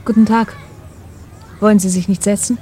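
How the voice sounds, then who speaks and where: A woman's voice: mid-range voice, fairly even intonation, moderate pace, mellow, a little hiss or hum, close to an everyday voice. An actress playing a sceptical, guarded woman in a radio drama, speaking formally and questioningly. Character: guarded, sceptical woman, girlfriend of a test subject. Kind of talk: 1990s German radio drama acting.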